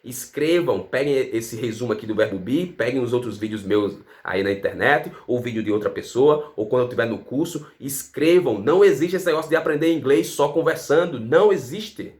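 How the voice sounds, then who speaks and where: A Brazilian man talks through a casual online lesson, throwing rhetorical questions at the viewer. He tags his sentences with a quick "tá?" and keeps an informal teaching tone. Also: mid-range voice, expressive, darkish tone. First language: Portuguese. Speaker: language teacher.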